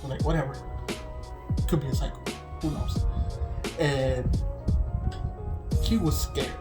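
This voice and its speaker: Casual reaction-show co-host, mid pitch, slangy storyteller with swearing, over loud music. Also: a little slow. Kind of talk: casual banter, reacting to videos. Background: music and video audio.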